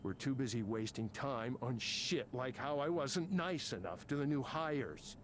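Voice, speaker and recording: A man's voice: medium pitch, animated, measured pace, a little hiss or hum, natural voice. An actor playing an angry, self-important tech programmer in his fifties for a video game, ranting with sarcasm and swearing. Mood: agitated, bitter. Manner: combative, sarcastic, profane ranting. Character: angry, embittered, self-important fifty-something male tech company programmer. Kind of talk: naturalistic video game voice acting.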